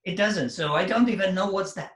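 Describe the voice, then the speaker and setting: A man teaching a fitness method, talking casually to an audience in halting, non-native phrasing and laughing often. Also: high voice, fairly bright tone, reverberant. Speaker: fitness teacher hosting a workshop.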